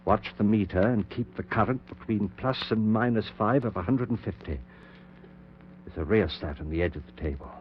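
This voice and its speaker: A male actor plays a middle-aged surgeon bent on raising the dead, his voice low-pitched and intense. He gives clipped, commanding orders in the grave style of 1940s radio horror. The old broadcast recording is dull, with hum and faint noise. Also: moderate pace, natural voice. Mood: grave, intent. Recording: muffled, noisy, humming 1940s radio recording.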